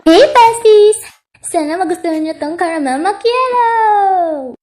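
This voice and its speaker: A woman's voice: very high-pitched, lively intonation, measured pace, darkish tone, a little hiss or hum. A woman voicing a bubbly, self-absorbed, needy girl clown animatronic in a cartoon fan dub, gushing and theatrical.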